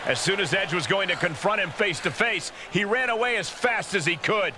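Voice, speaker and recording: A man playing an excited, fair-minded play-by-play announcer in a wrestling video game. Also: high voice, a little fast, slight background noise. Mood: measured concern. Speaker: play-by-play commentator. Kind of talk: wrestling commentary. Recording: loud music over commentary.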